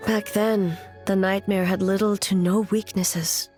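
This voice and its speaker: Almighty female warrior ruler for a game, mid-pitched, measured, solemn, music underneath. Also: little pitch movement, darkish tone, husky. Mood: resolute. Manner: formal, grave, measured. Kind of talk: scripted video game voice acting. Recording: music behind the voice.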